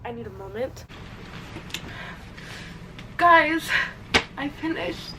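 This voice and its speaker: A young woman with a stuffed-up, teary voice rambles to camera, full of "like" and "literally." She sits back from the mic, and a clear hum of room noise runs underneath. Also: sits high, expressive, very slow, bright, forceful tone, recorded from a distance, poor audio. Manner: emotional, rambling, swears, says "like" and "literally" often. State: congested, teary. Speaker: reading vlogger. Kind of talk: casual vlog chat to camera.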